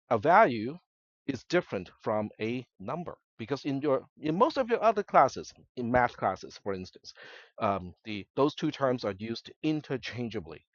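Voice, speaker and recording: A man's voice: mid-range voice, lively intonation, normal speed, dry recording. Lecturer, male, first language Cantonese, conversational classroom talk, slightly muffled dry recording. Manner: conversational, checks in with students often. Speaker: college instructor.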